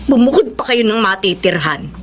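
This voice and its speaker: A woman's voice: medium pitch, animated, normal speed, a little hiss or hum, lightly acted. A woman playing a doting, meddling middle-aged mother in a Filipino radio drama. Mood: nagging, concerned. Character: doting, insistent, meddling mother of a married daughter.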